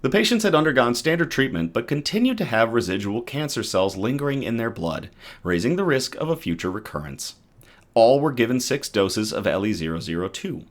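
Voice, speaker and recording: Man reading news in a lively voice. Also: medium pitch. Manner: reacts to live chat between readings; stumbles and restarts over technical terms. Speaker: host of a good-news show. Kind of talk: reading news articles aloud with casual asides.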